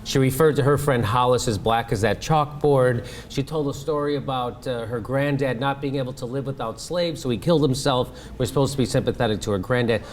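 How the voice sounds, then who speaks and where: A man's voice: mid-range voice, fairly quick, few pauses, a little hiss or hum. A man hosting a comedy show, scolding a caller in an exasperated, half-laughing way. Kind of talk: casual comedic phone bit.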